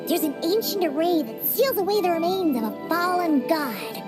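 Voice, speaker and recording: An actress voicing a tiny, chirpy female companion creature in a video game, cheeky and excitable. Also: moderate pace. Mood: excited. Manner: excitable, cheeky, talks in a high singsong. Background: game soundtrack music.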